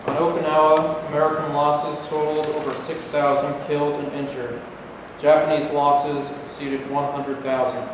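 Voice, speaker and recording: A man's voice: fairly high pitch, little pitch movement, moderate pace, hardly any pauses. A man narrating a staged reading from a script in a formal, factual manner. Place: reverberant indoor room at a staged reading. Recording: distant, echoey hall with clear noise.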